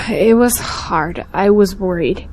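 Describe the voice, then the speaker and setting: A woman's voice: mid-range voice, slow, rough recording. A young woman playing a principled, outspoken schoolteacher in an audio drama, earnest and pointed. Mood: worried. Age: young adult.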